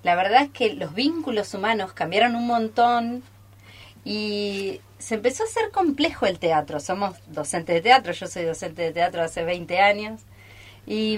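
A middle-aged woman with an Argentine accent, a theatre teacher chatting as a guest in a radio studio. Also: medium pitch. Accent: Argentine Spanish. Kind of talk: casual group interview.

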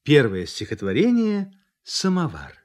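A man presenting and reciting for children in a deliberate, very expressive way. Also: fairly high pitch, loud music behind the voice.

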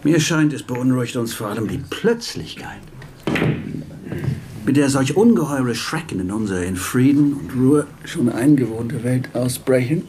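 A man's voice: medium pitch, animated, measured pace, close to an everyday voice. An actor playing a male merchant in a radio drama, speaking with polite, formal curiosity and some unease. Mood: uneasy. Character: English merchant, Kant's inquiring, uneasy billiards companion. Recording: clearly noisy background with clicks.

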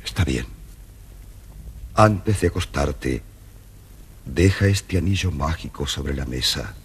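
A sad gentle monster for a kids story record, really husky low voice, pleading. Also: male voice, lively intonation, takes it slowly, heavily acted. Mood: pleading, resigned. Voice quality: husky, raspy. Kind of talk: scripted children's audio storybook acting.

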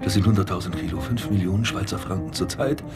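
An actor in a German radio drama playing a megalomaniac, domineering doctor of crime who gives imperious orders. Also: male voice, sits low, quick pace, creaky. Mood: grandiose, scheming. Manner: imperious, volatile, barking orders. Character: megalomaniac criminal mastermind doctor, cruel, domineering drunkard. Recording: music playing behind the voice.

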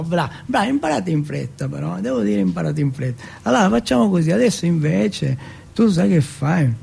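A man's voice: high voice, creaky, heavily acted. A man playing a big young jailhouse bully in a stage monologue, mocking and sadistic, coaxing and ordering with coarse, repeated commands. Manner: taunting, coaxing, repetitive commands, coarse swearing.

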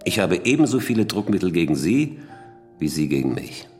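An actor playing a self-assured, threatening football club president in a radio drama, speaking with tight control. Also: male voice, low-pitched, very animated, a little fast, a little mellow, faint music behind. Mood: cold, threatening.

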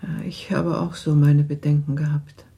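A sharp-tongued middle-aged mother in a radio play. The voice is low and very raspy, with a creaky edge. Replies come dry, cool and clipped, with a sardonic sting. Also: level tone, normal speed, heavily acted. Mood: measured, reflective. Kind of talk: German radio drama acting.

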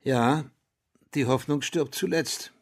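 A man's voice: medium pitch, slow, no echo. An actor playing a reflective, haunted war veteran and writer in his forties, narrating intimately in a radio drama. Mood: wry, reflective. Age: middle-aged. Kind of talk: German radio drama first-person narration. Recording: studio radio drama over guitar music.

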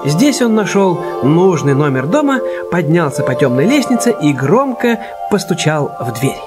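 A man's voice: fairly high pitch, big pitch swings, normal speed, loud music behind the voice. A man narrating a scripted radio drama as storyteller.

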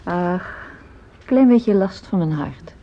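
Radio drama: frail older mother, hesitant, gentle, breathy-rough voice, muffled lo-fi sound. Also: on the low side, animated, takes it slowly. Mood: reassuring, evasive. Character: gentle ailing older mother of grown children, downplaying her illness. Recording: dull lo-fi radio play recording.

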